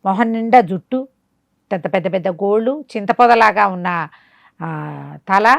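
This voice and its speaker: A woman's voice: on the low side, creaky, no echo. A woman storyteller telling a bedtime tale in a conversational way, with explanatory asides. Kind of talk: animated oral bedtime storytelling.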